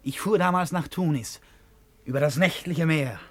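An actor in a German radio play, playing an eccentric, dreamy gentleman who speaks in a courteous, poetic way. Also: a little high, normal speed. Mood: rapt, dreamy.

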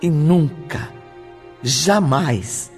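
A man's voice: a little high, very slow, soft background music. A man with a Brazilian accent narrating a scripted radio drama in solemn, formal diction.

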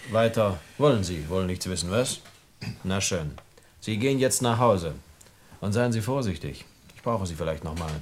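A man's voice: low voice, expressive, moderate pace, a little hiss or hum. A man playing a brusque, impatient police inspector in a 1960s German radio play, curt and commanding. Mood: irritated.

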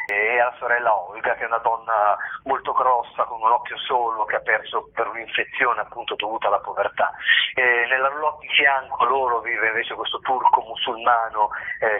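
A man's voice: mid-range voice, breathy edge. A man explaining things as a guest in a radio interview. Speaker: theatre company playwright, interview guest. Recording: muffled phone line, radio interview.

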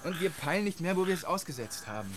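A male actor as an excitable young activist with a highish voice that swings widely. He rambles in slang like a scruffy dropout in a radio play. Loud frogs and crickets surround him. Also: fast talker, slight background noise, natural voice. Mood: defensive, rambling. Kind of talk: radio drama acting, slangy monologue.

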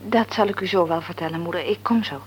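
A woman's voice: on the low side, quick pace, a little hiss or hum, close to an everyday voice. Woman playing a shaken young mother. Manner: hushed, breathy, shaken. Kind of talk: radio play acting.

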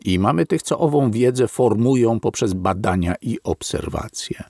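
A man narrating a philosophical text in a solemn, deliberate, sermon-like reading. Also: medium pitch, lively intonation, darkish tone, no echo, clean studio sound. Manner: slow, weighty and deliberate, like a sermon.

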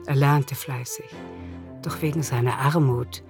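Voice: medium pitch, steady tone, a little slow, a little mellow. Narrator for a radio drama, literary storytelling, music playing behind.

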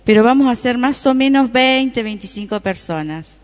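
A woman's voice: a little high, lively intonation, moderate pace, close to an everyday voice. A woman playing a friendly, appreciative customer chatting with a friend in a radio play, with an Argentine Rioplatense accent. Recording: reverberant room with long echo.

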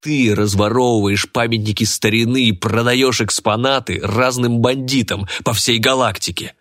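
A man's voice: on the low side, normal speed, a bit bright, no echo, clean studio sound. A man voicing a haughty, hot-tempered businessman in an audiobook, contemptuous and declamatory.

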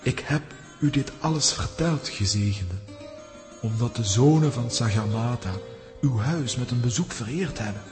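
An actor playing a gravely wise head lama of a mountain monastery, telling things in a solemn, formal, measured way for a children's audio drama. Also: male voice, mid-range voice, lively intonation, natural voice. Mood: reverent, formal. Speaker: character telling a mountain legend. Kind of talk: Dutch-language children's audio drama acting. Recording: long reverberant hall with music behind.

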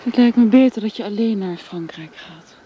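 A devout young woman in a radio play, soft-spoken and hesitant as she turns down her suitor, played by an actress. Her voice sits at an ordinary middle pitch. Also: normal speed, dull audio, noisy background, lightly acted. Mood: hushed.

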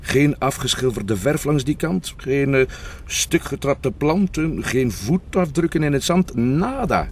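A man's voice: medium pitch, lively intonation, measured pace, a little hiss or hum. A man playing a sceptical, methodical police inspector with a Flemish accent in a radio drama, reasoning aloud a little hesitantly. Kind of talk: naturalistic radio drama dialogue.

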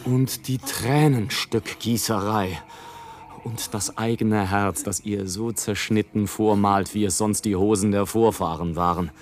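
An actor playing a mocking, indignant balloonist who declaims and mutters theatrical asides in a radio play. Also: male voice, on the low side, steady tone, measured pace, natural voice. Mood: scornful, indignant. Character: sardonic, indignant male balloonist watching people from above. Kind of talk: German radio play, literary monologue. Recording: music under the voice.

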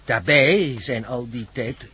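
A man's voice: mid-range voice, slow, sharp tone, husky, exaggerated voice. An actor playing a careful, learned male professor who explains things precisely in a radio drama. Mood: explanatory. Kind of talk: Dutch radio drama acting.

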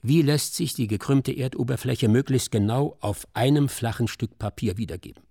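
A man reading scripted documentary narration in a sober, measured, articulate manner. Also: mid-range voice, gravelly, warm tone, breathy edge, dry recording, studio quality.

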